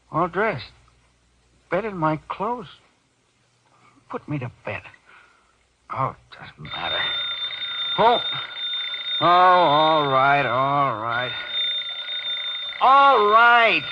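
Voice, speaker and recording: A stubborn hard drinker in an old radio play. The voice is high and sharp, swinging widely as it blusters and mutters. The recording is dull and a little noisy. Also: male voice, full character voice. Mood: groggy, groaning. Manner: blustering, broken, muttering phrases. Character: stubborn, reckless, hard-drinking man, woozy and groaning. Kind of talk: 1940s radio drama acting.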